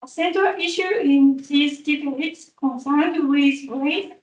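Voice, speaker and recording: A woman presents at an academic conference in a high voice, taking her time and pausing on fillers as she works through each point. She sounds far from the mic, with the echo of a conference room around her and a dull, muffled tone. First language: Japanese. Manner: careful, halting, with frequent fillers. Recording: roomy, distant, dull recording.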